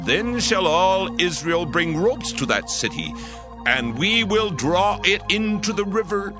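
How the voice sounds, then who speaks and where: Hushai, a shrewd old counselor. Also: male voice, fairly high pitch, heavily acted. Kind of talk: dramatized scripture reading. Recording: music bed behind voice.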